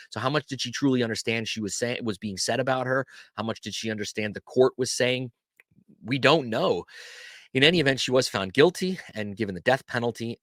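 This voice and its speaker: A man's voice: fairly low pitch, a bit bright, no echo, clean studio sound. A man hosting a casual history lesson, talking conversationally with frequent fillers and self-corrections. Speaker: channel host teaching local history. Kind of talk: casual educational talk to camera.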